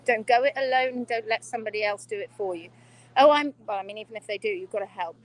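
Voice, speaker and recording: A woman's voice: a little high, fast, no room sound, rough recording. A woman hosting a live-streamed hoof-trimming workshop, speaking emphatically and quizzing her students, filmed on a phone indoors. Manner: emphatic, reads viewer comments aloud.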